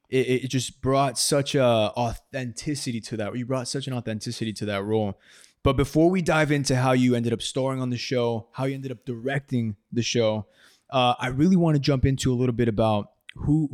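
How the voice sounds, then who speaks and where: A man's voice: mid-range voice, normal speed, darkish tone, no echo, clean studio sound. A young man hosting a podcast, talking in an easygoing way with lots of yeahs and laughs. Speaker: podcast host and actor. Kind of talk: casual podcast chat.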